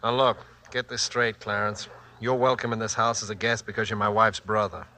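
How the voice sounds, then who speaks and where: A man's voice: on the low side, fairly even intonation, a little fast, pushed and bright. An actor playing a protective, suspicious husband and father, plain-spoken and blunt, in 1940s radio drama style. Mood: suspicious, firm. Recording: vintage 1940s radio recording.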